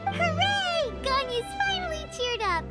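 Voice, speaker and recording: A woman voicing a tiny, cheerful, whiny childlike companion in a video game, with a squeaky voice. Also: very animated, unhurried, music in the background, full character voice. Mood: delighted.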